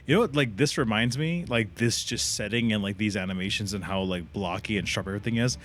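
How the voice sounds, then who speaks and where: A man's voice: fairly low pitch, quick pace, fairly bright tone. A man livestreaming a game with casual, slangy reactions and some swearing. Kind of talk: casual gaming reaction commentary. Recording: faint game sound and noise behind.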